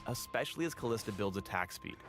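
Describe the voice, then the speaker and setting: A male narrator reads a scripted game spotlight. His delivery moves up and down in a lively way over prominent music. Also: fairly low pitch, fast. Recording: loud music behind narration.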